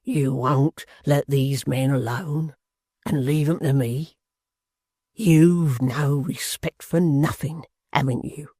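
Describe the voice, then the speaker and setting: A man voicing a sly, menacing male hangman who coaxes in a slow, low voice. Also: mellow, no room sound, studio quality. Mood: wheedling.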